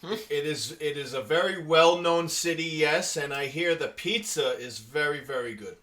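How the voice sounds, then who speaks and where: A man's voice: fairly high pitch, moderate pace, sharp tone. A man from New York hosting a casual video-call interview, asking questions and making light jokes. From New York, USA. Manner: friendly, joking small talk. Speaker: interview host.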